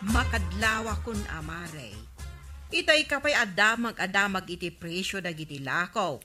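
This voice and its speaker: A woman's voice: mid-range voice, expressive, normal speed, over music, natural voice. A woman playing an exasperated, short-tempered village councilor who keeps a shop, scolding and complaining in a comedy radio drama.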